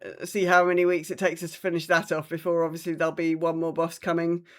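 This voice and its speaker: A woman's voice: lowish voice, level tone, fast talker. A woman hosting a podcast, chatting casually about her week of gaming and acting out reactions as she retells things. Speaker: podcast host.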